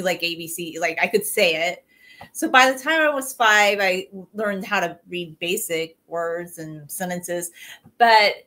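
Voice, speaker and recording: Young woman, guest, casual talk, says "like" a lot, mid pitch, webcam at home. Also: pushed and bright. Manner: casual, with frequent "like". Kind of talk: casual video call conversation. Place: home room with posters on the wall. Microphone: video call webcam.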